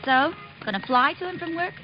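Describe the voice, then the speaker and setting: A woman's voice: high-pitched, expressive, brisk. Sassy, lilting nightclub showgirl. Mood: cynical, sassy. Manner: slangy, drawling, sassy. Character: wisecracking, flirtatious, cynical nightclub showgirl. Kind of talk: 1940s-50s radio detective drama acting. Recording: dull lo-fi old radio recording.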